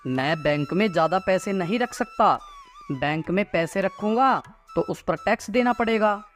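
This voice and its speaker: A man playing a trusting husband in a moral story, persuasive and quick to brush worries aside. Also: high-pitched, animated, fairly bright tone, soft background music. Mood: reassuring, dismissive. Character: trusting, reassuring husband and small-time property dealer.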